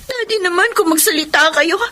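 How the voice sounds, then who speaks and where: An actress playing a headstrong, defiant young daughter in a Filipino radio drama, speaking with a Filipino accent. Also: female voice, very high voice, fairly quick, bright, forceful tone.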